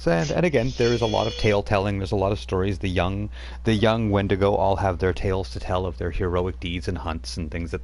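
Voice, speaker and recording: A man's voice: lowish voice, creaky. A man running a tabletop role-playing game, narrating scenes and patiently explaining rules. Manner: explains rules patiently, stops to check notes. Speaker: game master. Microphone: streaming mic.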